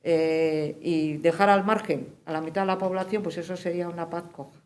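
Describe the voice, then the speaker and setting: A woman's voice: on the low side, fairly even intonation, a little fast, a little mellow. A woman speaking as a peace activist on a panel, giving reflective testimony emphatically and often checking in with tag questions. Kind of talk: reflective spoken testimony at a forum.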